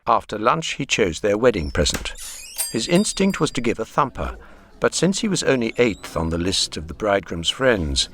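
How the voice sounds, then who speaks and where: An actor with an English accent narrating a literary radio drama as a grave, measured Edwardian storyteller with a husky voice. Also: male voice, lowish voice, sing-song, a bit bright, a little hiss or hum. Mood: grave, reflective.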